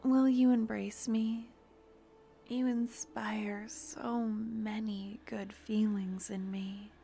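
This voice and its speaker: A woman doing a gentle necromancer girlfriend, soft whisper, unhurried, ASMR roleplay. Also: medium pitch, level tone, gravelly, a little hiss or hum. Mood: tender. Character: tender young woman necromancer, loving girlfriend.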